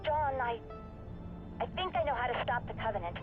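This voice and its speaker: A woman playing a determined scientist in the field in a sci-fi game, brisk and insistent. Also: fairly high pitch, expressive, narrow, tinny audio, close to an everyday voice. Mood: urgent. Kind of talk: sci-fi action game acting. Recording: music behind the voice.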